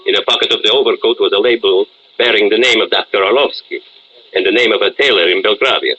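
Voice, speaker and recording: An actor playing a stern, suspicious Soviet captain in a radio drama, formal and commanding. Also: male voice, lowish voice, fast, bright, forceful tone, breathy edge, poor audio. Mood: stern, accusing. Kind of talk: old-time radio drama acting.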